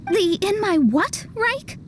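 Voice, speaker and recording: A woman's voice: extremely high pitch, very animated, slow, slight background noise, heavily acted. A woman voicing a proud, haughty young female astrologer with a self-important manner in a video game. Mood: indignant. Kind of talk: video game character dialogue.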